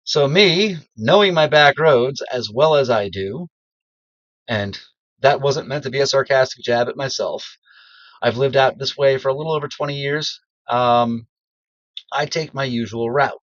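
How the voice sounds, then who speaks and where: A man's voice: medium pitch, a little slow, a bit bright. A middle-aged man talks casually and self-deprecatingly, stopping on plenty of ums, his voice still stuffy from a throat infection. He records in a small cedar closet with no echo at all. The sound is slightly muffled and lo-fi. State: congested, recovering from strep throat. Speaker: beginner voice actor. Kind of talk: casual personal vlog.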